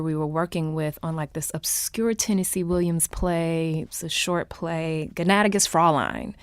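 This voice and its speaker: A woman's voice: low-pitched, smooth, no echo. A woman actor in an interview, thinking aloud in a conversational, reflective way. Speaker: actor, panel guest.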